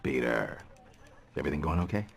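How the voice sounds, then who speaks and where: A man's voice: extremely low pitch, lively intonation, a little fast, vocal fry, breathy edge, heavily acted. A man voicing a kindly, supportive newspaper editor in a video game, with an easygoing, avuncular manner. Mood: kindly, encouraging.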